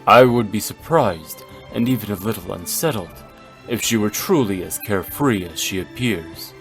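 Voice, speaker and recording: A man playing a calm, learned, dignified gentleman in a video game, speaking formally and with composure. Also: lowish voice, moderate pace. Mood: composed, reflective. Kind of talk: measured game voice acting. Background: background music.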